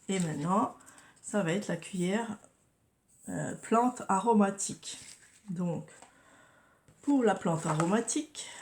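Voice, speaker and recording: A woman with a head cold chatting casually through a crafting tutorial, often murmuring and whispering to herself as she works. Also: fairly low pitch. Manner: drops to murmurs and whispers while working, repeats "voilà" often. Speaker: craft vlogger.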